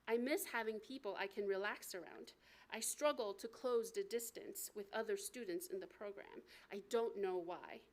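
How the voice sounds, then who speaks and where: An American woman reading aloud from her memoir as its author, in an expressive, deliberate storytelling manner at a live event. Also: medium pitch. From United States. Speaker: author reading from own book.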